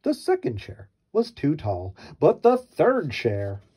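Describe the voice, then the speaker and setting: A man's voice: a little high, wildly swooping pitch, slow, mellow, husky. A man reading a children's story aloud with wry, theatrical mock innocence.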